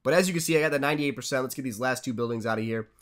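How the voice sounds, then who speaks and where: A man's voice: medium pitch, racing, a bit bright. A congested young man casually commentating his own strategy game replays, chatty and upbeat, giving tips as a channel host.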